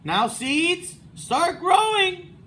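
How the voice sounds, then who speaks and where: Storybook toad, male, acted by a man reading aloud: fretful, impatient, plaintive, high and animated, faint background noise. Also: very slow, heavily acted. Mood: shouting impatiently.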